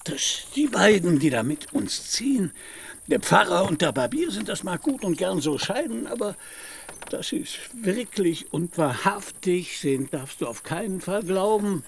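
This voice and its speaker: A man's voice: sits high, big pitch swings, measured pace, vocal fry, a little hiss or hum, exaggerated voice. A man playing a deluded, lofty old knight-errant in a radio play, declaiming pompously in archaic phrases. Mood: lofty, patient.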